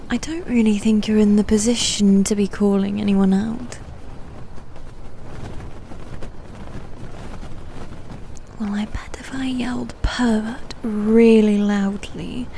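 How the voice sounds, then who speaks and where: A woman playing a haughty, teasing female demon knight in an ASMR roleplay, speaking softly and deliberately, often dropping into a whisper. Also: mid-range voice, fairly even intonation, creaky, slight background noise. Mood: teasing, aloof.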